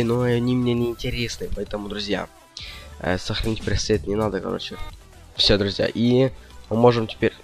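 A young man giving casual gameplay commentary, rambling indecisively and slangily to his viewers. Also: on the low side. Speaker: gaming video host.

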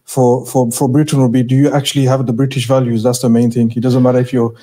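Halting, even-toned male podcast host. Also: mid-range voice. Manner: halting, with frequent hesitation. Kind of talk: hesitant podcast introduction. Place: small podcast studio around a table. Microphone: close studio mic on boom arm.

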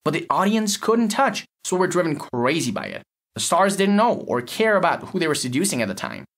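A man's voice: high voice, sing-song, a little fast, fairly bright tone, exaggerated voice. A man playing a cynical, blunt lab technician in an audio story, explaining in a matter-of-fact, sardonic way. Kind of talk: acted dialogue in an audio short story.

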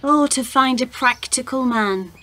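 A woman acting a wry, pragmatic young woman in a radio drama. Her voice sits slightly high, and she talks plainly and deadpan. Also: a little slow, slight background noise. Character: dry, pragmatic young working-class woman, wry and stoical.

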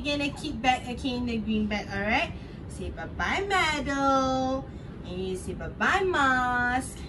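A young woman teacher with a Singaporean accent speaks to small children in a high, very lively voice. She uses simple, encouraging phrases with exaggerated emphasis. The sound is picked up from a distance, with clear room noise. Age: young adult. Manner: exaggerated, encouraging sing-song delivery with short simple phrases. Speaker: preschool teacher at a children's gym. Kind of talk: interactive lesson for young children. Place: indoor padded children's gym. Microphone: camera a few metres away.

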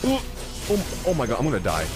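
A young man doing live gameplay reactions as a streamer, excitable, slangy and full of exclamations. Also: mid-range voice, fairly quick. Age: young adult. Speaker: game streamer. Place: small home streaming room. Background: game music.

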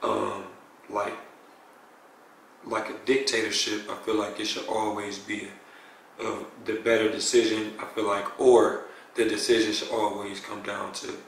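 A young man with a slightly raspy voice, talking in a loose, rambling way, as a blindfolded contestant on a blind date. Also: on the low side, flat delivery, measured pace, a little hiss or hum. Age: young adult. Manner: laid-back, rambling, lots of "you know what I'm saying". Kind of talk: casual chat. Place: living room indoors. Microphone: far camera. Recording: echoey room from far off.

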